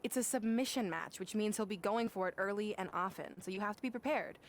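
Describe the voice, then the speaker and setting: A woman's voice: medium pitch, fast talker, natural voice. Actress as a bossy female wrestling coach, noisy backdrop. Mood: brisk, stern. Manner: curt, condescending. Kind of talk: video game cutscene voice acting.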